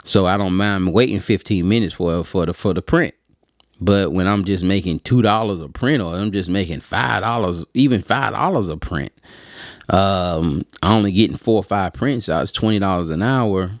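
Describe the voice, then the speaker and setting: A man with a low voice speaks in a dry-sounding space, as if swapping stories with friends. His delivery is informal and meandering, full of slang, and he voices both sides of remembered conversations. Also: normal speed, no room sound. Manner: slangy, conversational, acts out quoted dialogue. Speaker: print shop owner telling a story to viewers. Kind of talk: casual rambling storytelling vlog.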